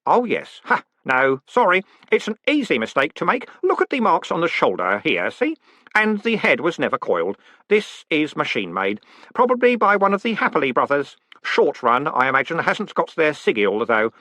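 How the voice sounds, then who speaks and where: A man's voice: fairly high pitch, wildly swooping pitch, normal speed, bright, forceful tone, no room sound, pitched above the performer's own voice, full character voice. A man voicing an earnest, nerdy young man who is obsessed with collecting pins, in a lifted, eager voice.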